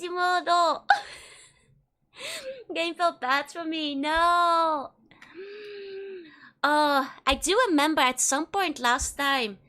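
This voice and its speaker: A high, bright woman's voice with a Dutch accent, talking through a game stream in an unhurried way. She giggles often between her remarks and chats loosely with her viewers. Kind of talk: casual live gameplay chat.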